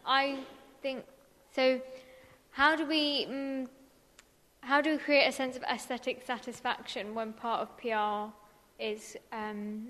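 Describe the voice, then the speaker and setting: A woman with a loud voice asking a question from the audience on a roving mic, hesitant and full of ums, with a wry edge. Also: on the high side. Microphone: roving handheld mic. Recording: reverberant hall with faint ambience.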